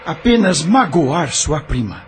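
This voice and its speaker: A man's voice: a little high, big pitch swings, takes it slowly, slight background noise. Guy acting young doctor husband, earnest, emotional, voice swings a lot, audio drama. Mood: irritated.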